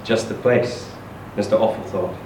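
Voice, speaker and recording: A man reading a short story aloud in an expressive, theatrical, emphatic storytelling style. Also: on the low side, moderate pace. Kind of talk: expressive live literary reading. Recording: echoey room, distant mic, faint noise.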